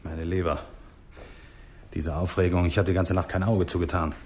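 An actor as a peevish, low-voiced doctor. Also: male voice, flat delivery, moderate pace, a little mellow, natural voice. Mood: self-pitying. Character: peevish, self-pitying, ailing male small-town doctor. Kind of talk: 1960s German radio crime drama acting.

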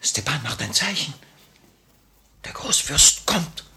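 Restless, theatrical revolutionary leader, wide-swinging voice. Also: male voice, fairly high pitch, very animated, takes it slowly, pushed and bright, slight background noise, exaggerated voice. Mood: urgent, agitated. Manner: theatrical, agitated, brooding. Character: restless male revolutionary cell leader, nervous, romantic. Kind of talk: 1950 German-language radio drama acting. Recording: old 1950 radio recording.

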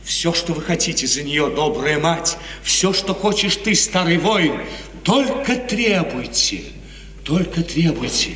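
Lovestruck imperious prince, high voice, theatrical radio drama, long echoing hall. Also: male voice, lively intonation, slow, fairly bright tone. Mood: rapturous. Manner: theatrical, rapturous, repeats phrases emphatically. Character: ardent, imperious young prince, lovestruck and impatient. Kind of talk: 1950s Soviet radio theatre acting. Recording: roomy, distant 1950s radio recording.

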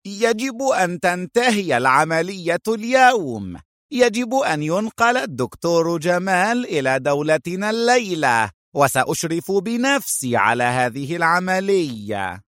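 A man's voice: fairly high pitch, very animated, a little slow, fairly bright tone, dry recording, studio quality, voice pushed up. A man voicing a short, bossy, furious spy chief in an audiobook, imperious and scornful. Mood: angry, commanding. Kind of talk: dramatized audiobook villain.

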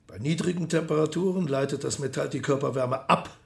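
An actor playing a matter-of-fact arms expert, explaining precisely in a radio play. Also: male voice, fairly high pitch, a little fast, vocal fry. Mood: didactic.

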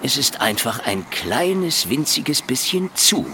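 Casting: sardonic male private eye for noir radio drama, very animated intonation, clearly noisy effects behind. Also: medium pitch, takes it slowly, heavily acted. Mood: taunting, menacing. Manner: ironic, drawling taunts and mock endearments. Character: sardonic, wisecracking hard-boiled male private detective. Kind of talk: radio play acting with noir first-person narration.

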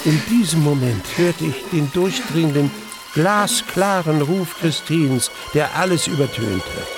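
A man's voice: fairly high pitch, expressive, unhurried. An actor playing a young nobleman who narrates his ordeal in a grave, suspenseful radio play style. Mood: horrified. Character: young nobleman recounting his near-drowning in terror. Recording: music behind, running water sounds. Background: music and trickling, pouring water.

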